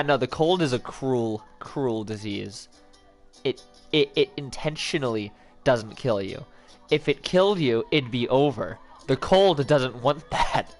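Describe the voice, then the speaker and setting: A young man with a head cold chatting casually on a livestream, rambling and joking with lots of restarts. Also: mid-range voice. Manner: rambling, self-interrupting, joking. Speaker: streamer.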